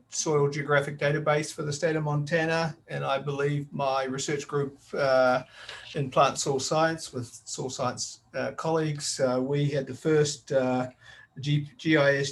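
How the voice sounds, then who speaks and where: Gravelly older New Zealand professor, halting. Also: male voice, medium pitch. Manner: measured, halting with many fillers. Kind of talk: self-introduction on video call. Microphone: video call.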